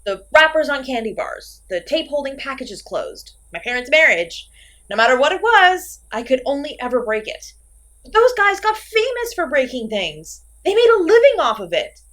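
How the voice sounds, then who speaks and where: Woman acting a high-pitched, melodramatic failed slasher. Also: big pitch swings, moderate pace, a bit bright. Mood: wistful, dramatic. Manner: theatrical, exasperated, swings between sulky and manic. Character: failed slasher killer, woman, melodramatic, insecure, petulant, comically chatty. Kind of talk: comedic horror audio roleplay, acted monologue to listener.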